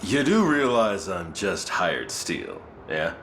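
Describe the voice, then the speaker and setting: An actor playing a cocky, unrepentant hired sword in a video game, with a sardonic, colloquial way of talking. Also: male voice, low voice, very animated, takes it slowly, fairly bright tone, a little hiss or hum, heavily acted. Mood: wry defiance. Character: cocky captured male mercenary, wry and unrepentant.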